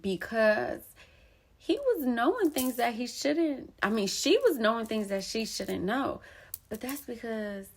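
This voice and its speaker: Animated woman with a gravelly voice. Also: a little high, unhurried. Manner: emphatic, casual slang, animated. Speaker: poet and storyteller. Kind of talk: candid personal storytelling.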